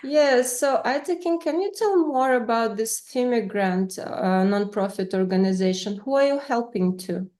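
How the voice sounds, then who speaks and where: A woman's voice: fairly high pitch, expressive, moderate pace, few pauses, darkish tone. A young woman from Ukraine with a Ukrainian accent, interviewing a guest over a video call, hesitant and searching for words with many fillers. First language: Russian.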